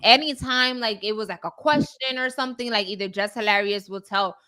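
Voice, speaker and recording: A woman's voice: medium pitch, fairly quick, pushed and bright, clean tone. A woman casually recapping celebrity news as a host, often checking for agreement. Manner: conversational, often seeking agreement with "right?". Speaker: talk show host.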